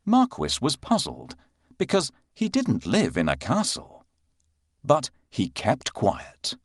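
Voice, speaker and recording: A man narrating a scripted children's bedtime fairy tale with expressive, dramatic delivery. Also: medium pitch, slow pace, husky, no echo, studio quality. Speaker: storyteller narrator.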